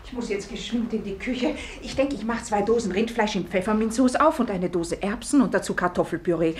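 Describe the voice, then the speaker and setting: An actress playing a kind, obliging young wife who runs a new guesthouse, in a 1950s German radio play. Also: female voice, mid-range voice, a little fast, close to an everyday voice. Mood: lively, domestic. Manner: polite, obliging. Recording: noisy 1950s radio archive recording.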